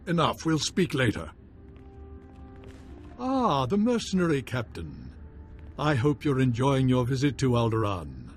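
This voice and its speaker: A man's voice: medium pitch, wildly swooping pitch, measured pace, pauses often, soft background music. An actor playing a pompous, cautious nobleman in a video game, formal and dismissive in manner. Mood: haughty, guarded. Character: haughty, cautious nobleman baron, dismissive husband. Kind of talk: scripted video game acting.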